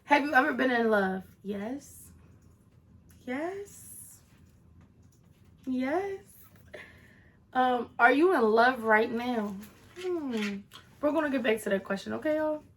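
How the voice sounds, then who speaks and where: A woman's voice: high voice, lively intonation, slow, long pauses. A woman of twenty-one answering viewer questions in a casual vlog, chatty with sassy asides to her viewers. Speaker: vlogger.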